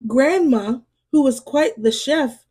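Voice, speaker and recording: A woman reading a children's storybook aloud in an expressive way, as a storyteller speaking to young listeners. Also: high voice, slow, breathy edge. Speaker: storyteller and read-aloud host.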